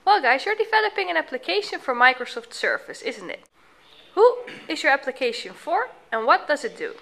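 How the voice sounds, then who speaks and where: Lively bright-voiced woman interviewer. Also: high-pitched, fairly quick. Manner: friendly prompting questions, rephrases answers back. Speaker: teacher interviewing students. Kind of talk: casual on-site interview.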